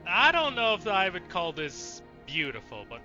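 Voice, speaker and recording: A man's voice: sits high, huge pitch swings, normal speed, sharp tone, dull audio, faint music behind. A man doing casual let's play commentary, reacting with muttered asides and swearing, and reading dialogue options aloud.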